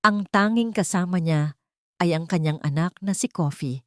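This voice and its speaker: Female Filipino storyteller, lower register, dramatic scripted narration, close dry studio sound. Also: normal speed, no echo. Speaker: narrator of audio love stories.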